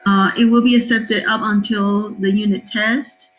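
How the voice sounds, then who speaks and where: A middle-aged woman from Taiwan, a math teacher, giving a cheerful class introduction with frequent ums and pauses. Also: mid-range voice, level tone, slight background noise. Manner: cheerful, with many fillers and pauses.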